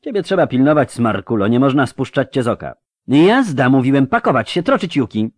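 Casting: male reader as commanding fantasy monster hunter, curt and cold, lively intonation, dry recording. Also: mid-range voice, unhurried, no echo, pitched above the performer's own voice. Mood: brisk, firm.

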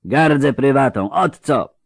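A man's voice: medium pitch, lively intonation, a little slow, a little mellow, no room sound, studio quality, pitched above the performer's own voice. A man voicing a haughty, indignant nobleman and dynastic zealot with a grating voice, in an audiobook. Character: gruff dynastic zealot, a proud male nobleman.

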